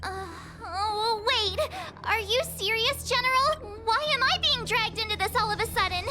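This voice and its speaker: A woman voicing an excitable, chatty young girl with a flustered, talkative manner. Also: very high voice, moderate pace, faint music behind, exaggerated voice.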